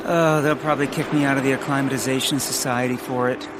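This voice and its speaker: A man's voice: medium pitch, little pitch movement, quick pace, close to an everyday voice. A man playing a nervous, earnest, eccentric naturalist with an awkward manner in a comedic audio drama. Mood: deadpan. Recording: music behind the voice.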